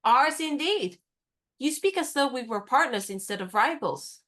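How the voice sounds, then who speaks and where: A spirited, independent young woman in a radio play, acted by a woman, teasing and stubbornly fair-minded. She speaks crisply and insists on her point, in a fairly high voice. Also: animated, normal speed, a bit bright. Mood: wry.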